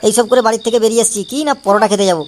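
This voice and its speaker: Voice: medium pitch, fairly even intonation, rapid-fire, background noise, lightly acted. A performer voicing a henpecked, chatty cartoon husband who talks in a complaining, gossipy way in comic dialogue. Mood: exasperated, gossipy. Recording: loud music behind the voice. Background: music.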